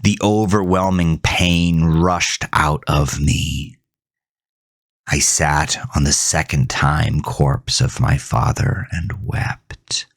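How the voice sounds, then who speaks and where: A man playing a grieving, haunted farmer who narrates a horror audio drama in a brooding, deliberate, theatrical way. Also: rumbling low, fairly even intonation, no room sound, clean studio sound, full character voice. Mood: grim, haunted. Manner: brooding, deliberate, theatrical, dropping at times into breathy near-whisper. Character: grieving, haunted farmer telling his supernatural ordeal.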